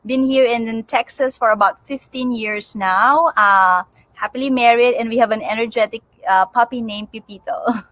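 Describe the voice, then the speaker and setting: A woman's voice: on the high side, normal speed, fairly bright tone, dry recording. A woman with a Filipino accent co-hosting a casual livestream in a chatty, agreeable way. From Philippines. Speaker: co-host of a livestream.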